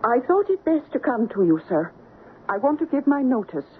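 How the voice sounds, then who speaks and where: A woman's voice: fairly high pitch, very animated, moderate pace. A Scottish village housekeeper, a superstitious woman full of fear. She speaks in rustic dialect with a solemn, foreboding manner. It is 1970s radio drama, thin and tinny with faint hiss. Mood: anxious, firm. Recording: tinny, noisy 1970s radio broadcast recording.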